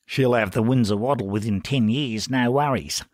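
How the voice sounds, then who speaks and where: A man's voice: medium pitch, moderate pace, no room sound, studio quality, close to an everyday voice. A man voicing a foul-mouthed, combative Australian pub lout in a story reading. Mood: sneering. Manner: crude, loud, combative.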